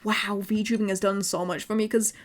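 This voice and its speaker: A young woman with an English accent, chatting casually on a livestream, often repeating words and filling pauses. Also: medium pitch, flat delivery, slight background noise. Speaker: VTuber streamer.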